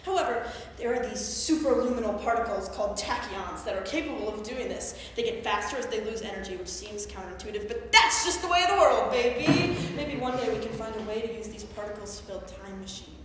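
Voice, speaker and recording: A young woman on stage playing an earnest, geeky woman obsessed with physics in an animated, wistful monologue. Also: mid-range voice, fast, few pauses, natural voice. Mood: explanatory. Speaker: actor in a staged play reading. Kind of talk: theatrical monologue, explanatory. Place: live staged reading at a conference. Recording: very echoey hall, faint noise.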